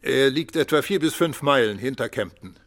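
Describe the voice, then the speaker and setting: An actor playing a brisk, authoritative senior police chief in a German radio play. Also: male voice, medium pitch, lively intonation, a bit bright, no echo. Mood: matter-of-fact.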